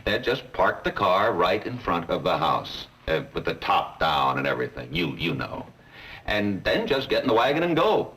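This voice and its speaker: An impulsive car buyer talks breezily in a very low voice that still swings widely. He sits a little back from the mic, and a faint hiss runs under him, as in an old film. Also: sing-song, normal speed, fairly bright tone, far from the mic, poor audio. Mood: eager. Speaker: customer. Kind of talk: 1950s training film acting.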